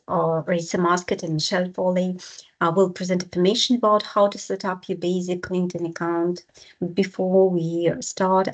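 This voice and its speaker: A woman's voice: lowish voice, poor audio. A woman with a Ukrainian accent, a program manager hosting an online workshop on a video call, speaking with frequent hesitations and restarts. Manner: hesitant, many fillers and restarts.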